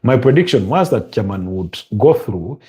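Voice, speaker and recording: A man's voice: on the low side, normal speed. A man from Uganda with a Ugandan English accent, chatting casually and commenting on a match live as host, with frequent ums and uhs. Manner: relaxed, conversational, many ums and uhs. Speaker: watch-along host.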